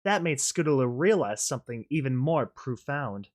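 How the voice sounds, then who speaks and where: A reaction host with a mid-pitched voice reads a story aloud with expression. The delivery moves between dramatic narration and casual, emphatic commentary. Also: expressive, moderate pace.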